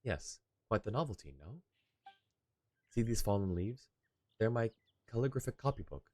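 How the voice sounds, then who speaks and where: A man's voice: on the low side, expressive, normal speed, a little mellow, dry recording. A man voicing a polite, talkative young swordsman from a game, with a courtly and lightly playful manner. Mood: refined, playful. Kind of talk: reading game dialogue in character. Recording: game music behind.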